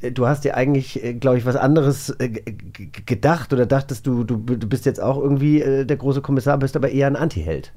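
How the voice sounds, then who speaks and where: A man with a soft, mellow voice of medium pitch hosts a podcast interview. He talks in a casual, chatty way and rambles a little as he builds up his questions. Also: fast, few pauses.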